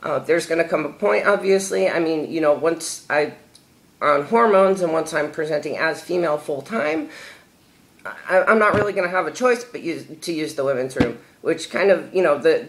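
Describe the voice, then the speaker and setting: A young adult woman answering viewer questions in a casual vlog. She talks candidly and haltingly, with many fillers and repeated words. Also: deep voice, lively intonation, poor audio. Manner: candid and chatty.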